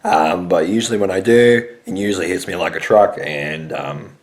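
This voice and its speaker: A hoarse young man with a raspy, worn-out throat hosts a casual sports vlog. His voice sits deep and low. He rambles along with plenty of ums and uhs as he works around the strain. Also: moderate pace. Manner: rambling, many hesitant fillers. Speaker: sports show host.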